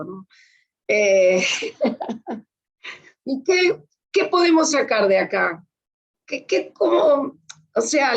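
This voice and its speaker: A woman with an Argentine accent teaching a class spontaneously, prompting people with questions. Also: fairly high pitch. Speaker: course teacher. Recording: dull online class audio.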